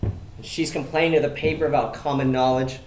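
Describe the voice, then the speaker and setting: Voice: medium pitch, steady tone, brisk, a little mellow, close to an everyday voice. An actor in a stage play playing an indignant, cynical loan shark who rants with sarcastic emphasis. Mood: scornful ranting. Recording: roomy live theater, audible noise.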